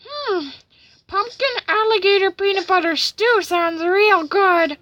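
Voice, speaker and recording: Woman doing a loud, high-pitched, menacing marshmallow man. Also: slow, heavily acted. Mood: taunting, gloating. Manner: threatening, taunting. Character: crazed, aggressive, hungry marshmallow man, a bullying child.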